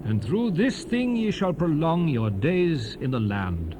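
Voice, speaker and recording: A man's voice: fairly high pitch, sing-song, a little slow. An actor playing Moses as a stern, fervent aged prophet, declaiming King James scripture in a solemn, emphatic, oratorical way. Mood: earnest exhortation. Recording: noisy 1970s cassette rip with hiss.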